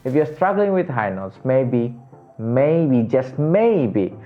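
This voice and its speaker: A male vocal coach with a raspy voice, teaching casually and jumping to a high, excited pitch when he stresses a point. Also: slow, narrow, tinny audio, faint music behind. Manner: animated, jumps to high excited pitch on emphasis, laughs often.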